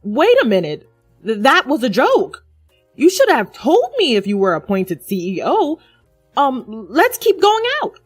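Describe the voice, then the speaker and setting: An arrogant young man, drunk and full of contempt, as a woman narrator acts him out. The delivery is mocking and scornful, in the manner of a dramatized story. The sound is dry, with soft music underneath. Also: on the high side, huge pitch swings, measured pace, slightly rough, no echo, voice pushed up, heavily acted. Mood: pleading. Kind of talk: dramatized audio story dialogue.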